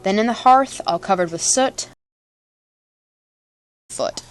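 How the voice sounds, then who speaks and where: A woman's voice: medium pitch, expressive, slow pace, low-quality recording. A woman reading a storybook aloud with dramatic, playful narration. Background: music.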